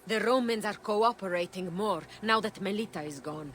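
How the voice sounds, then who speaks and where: A woman playing a composed, gentle healer of the ancient world, speaking in a measured, earnest way in a video game. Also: medium pitch, a little hiss or hum, lightly acted. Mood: concerned, earnest. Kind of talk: video game cutscene acting.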